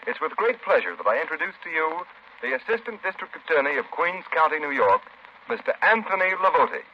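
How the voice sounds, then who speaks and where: A man's voice: on the high side, very animated, brisk. An American man announcing in scripted 1930s radio style, formal, polished and emphatic. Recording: muffled, noisy 1930s radio broadcast.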